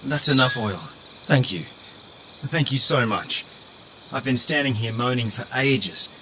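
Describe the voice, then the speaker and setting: A man's voice: medium pitch, unhurried, pushed and bright, a little hiss or hum. A man playing a gloomy, curt tin woodman in an audio drama, dry, weary and self-deprecating. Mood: relieved.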